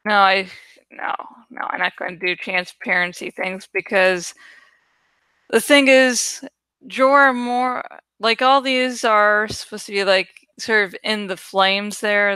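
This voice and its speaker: A woman casually rambling as she edits artwork for her channel, thinking out loud, self-deprecating and swearing freely. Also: medium pitch, clear, resonant tone, no echo. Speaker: video creator and host. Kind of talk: casual rambling commentary while editing artwork.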